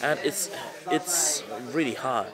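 A man's voice: a little high, slow. A man in his thirties from Malaysia who grew up speaking several languages, vlogging to a camera held at arm's length in a convention centre. He rambles, repeats words, and sounds tired but upbeat. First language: not English. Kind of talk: casual selfie vlog. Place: large convention centre, indoors. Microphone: handheld camera at arm's length. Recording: crowd noise in a busy hall.

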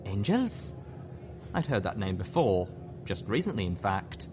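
Expressive male audiobook narrator. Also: low-pitched, moderate pace, noisy background. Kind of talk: scripted story narration.

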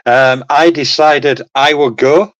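A man's voice: fairly high pitch, fairly even intonation, takes it slowly, a bit bright, dry recording. Rambling man guest, dry muffled sound. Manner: rambling, peppers talk with "okay" and "um". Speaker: panel guest. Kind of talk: casual panel chat. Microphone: computer mic on a video call.

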